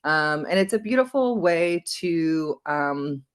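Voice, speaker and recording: A woman's voice: low voice, animated, slow, clear, resonant tone. A woman in her forties who grew up in Belgium, a wellness coach being interviewed on a podcast, talking reflectively with frequent ums and restarts.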